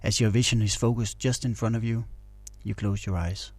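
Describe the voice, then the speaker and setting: A man narrates a guided meditation in a soft-edged, very mellow voice. His intonation stays flat and soothing as he gives calm instructions. The sound is close and very dry. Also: lowish voice, moderate pace, dry recording.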